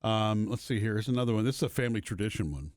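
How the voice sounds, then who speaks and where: A man's voice: lowish voice, expressive, very fast, a little mellow, dry recording, clean studio sound. Easygoing man reading listener mail. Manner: easygoing, thinking aloud with fillers. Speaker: podcast co-host. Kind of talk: casual chat, reading listener messages aloud.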